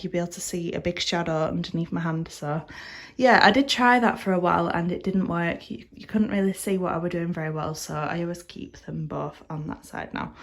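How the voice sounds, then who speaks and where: A woman, nasal and stuffed up from a cold, chatting casually as she tours her small home art studio, rambling with plenty of filler words. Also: medium pitch. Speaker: artist vlogger. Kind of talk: casual studio tour vlog.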